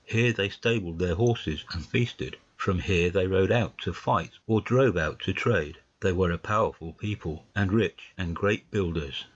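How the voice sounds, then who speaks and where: An actor playing a placid, wise old male badger, gruff and measured, in a dramatised audiobook. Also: low-pitched, dull audio. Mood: calm, reflective. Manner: measured, storytelling, unhurried. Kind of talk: dramatised audiobook of classic fiction.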